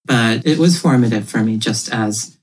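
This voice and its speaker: Woman, comic creator guest, husky voice, thoughtful, laughs often, casual podcast chat. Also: a bass voice, normal speed, rough recording. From Ethiopia.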